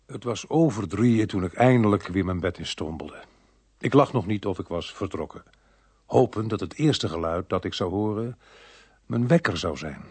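An actor playing a weary, grumbling middle-aged police inspector in a radio play, with a dry, grumpy manner. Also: male voice, fairly low pitch, lively intonation, normal speed, darkish tone, husky. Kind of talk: radio play acting with first-person narration.

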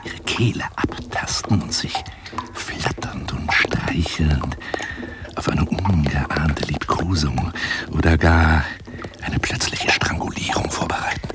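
An actor playing an obsessive, menacing, wounded male caller in a German radio play monologue, theatrical and florid, with a raspy voice and insinuating delivery. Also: extremely low pitch, takes it slowly. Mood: menacing, lascivious whisper. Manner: theatrical, florid, insinuating, swinging into breathy whispers.

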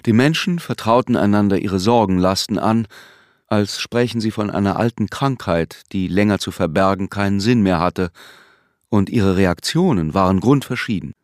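A man narrating a literary radio drama in a measured, detached storytelling manner. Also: fairly low pitch, darkish tone, dry recording, clean studio sound, close to an everyday voice. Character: sober male narrator of a literary tale.

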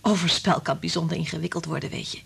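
An actress playing an anguished, bitter married woman in a radio drama, intense and confiding, with a breathy, husky voice that drops to whispers. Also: low-pitched, fast talker, a bit bright, exaggerated voice.

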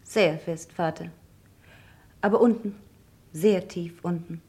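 An actress playing a practical grown daughter in a vintage radio crime play, dry and unruffled. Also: female voice, fairly low pitch, slow, darkish tone. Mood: dry, cool. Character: cool, practical grown daughter managing family affairs. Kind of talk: vintage German radio crime drama acting.

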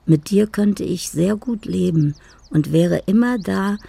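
Odd lovesick middle aged woman, real croaky n mellow, slow halting poetry, radio play. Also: mid-range voice, no echo, heavily acted. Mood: wistful, adoring. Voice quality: creaky, soft. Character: odd, tender, lovesick woman reciting poetic letters. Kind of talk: intimate radio play monologue.

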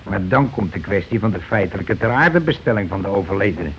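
A man acts a scheming, grumbling husband of the working class in an old radio play. His voice sits fairly low, and he blusters with coarse self-importance. The recording is muffled, with faint hiss. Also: lively intonation, fairly quick, lightly acted.

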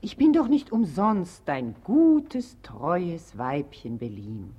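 Radio comedy: saccharine, doting wife, drawn-out and swooping delivery. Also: female voice, fairly low pitch, very animated, lo-fi sound, music in the background. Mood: sugary, doting. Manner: cooing, saccharine, singsong repetition. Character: cooing, sugary, over-devoted wife. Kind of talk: German radio play comedy acting.

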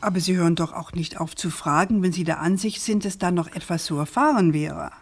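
An investigative journalist in a radio crime play, with a fairly low voice. She is persistent and probing, putting her questions deliberately and refusing to let go. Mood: insistent. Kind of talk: naturalistic German radio crime drama.

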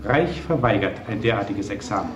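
A man's voice: lowish voice, expressive, fairly quick, a crowd in the background, natural voice. An actor in a German radio play, playing a firm, formal lawyer who guards his client. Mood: firm, official. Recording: dull, echoey old radio recording.

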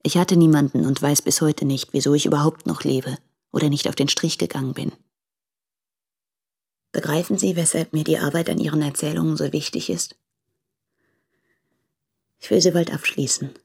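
An actress playing a proud, candid, impoverished young translator in a German radio play, speaking deliberately in a breathy voice. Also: female voice, deep voice, fairly even intonation, a little mellow, exaggerated voice. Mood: bitter, intense. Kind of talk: German radio play, reading letters aloud.

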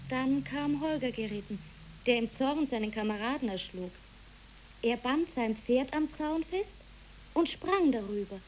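An actress playing an earnest young storyteller, narrating simply in a literary radio play. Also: female voice, high-pitched, lively intonation, a little slow, a little mellow, poor audio. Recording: hissy old radio recording.

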